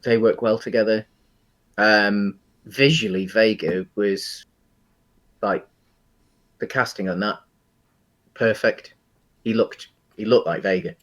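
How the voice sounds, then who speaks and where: Male podcast co-host, lower register, casual film discussion, halting and explanatory delivery. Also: steady tone, fairly bright tone.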